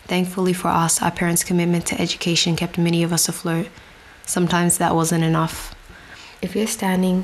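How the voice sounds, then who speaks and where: A young woman giving a prepared storytelling speech to an outdoor audience, measured and reflective with dry asides. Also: fairly low pitch, slight background noise. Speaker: speaker addressing an outdoor audience. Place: outdoor venue with grass and a stage.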